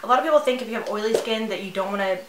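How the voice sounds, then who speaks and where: A woman's voice: mid-range voice, racing, noisy background. A woman in her thirties chatting as a beauty vlogger while doing her makeup, giggly and rambling with fillers. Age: 30s. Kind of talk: chatty get-ready-with-me. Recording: echoey room.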